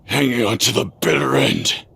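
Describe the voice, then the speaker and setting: An actor playing a gloating, sadistic demon villain in an animated game, with a taunting, menacing, drawn-out delivery. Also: male voice, medium pitch, creaky, slightly rough, heavily acted. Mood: cruel, gloating. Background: music.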